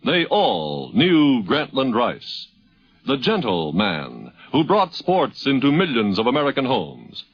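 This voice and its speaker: A man's voice: mid-range voice, huge pitch swings, slow pace, lo-fi sound. A man announcing a 1950s radio program in a formal, declamatory, scripted style. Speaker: broadcast announcer. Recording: 1950s radio broadcast, dulled, loud music. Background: loud music.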